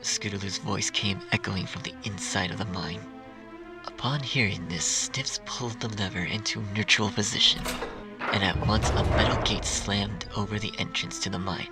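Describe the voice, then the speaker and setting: A man's voice: lowish voice, normal speed. A man reading a story aloud as narrator, in a scripted audiobook style. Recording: music under the reading.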